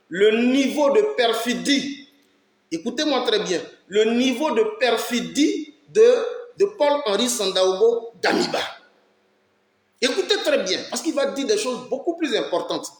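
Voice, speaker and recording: A man from Ivory Coast, giving impassioned political commentary on his own channel, emphatic and fond of rhetorical questions. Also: high voice. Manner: emphatic, rhetorical questions, urges listeners to listen. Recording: roomy echo.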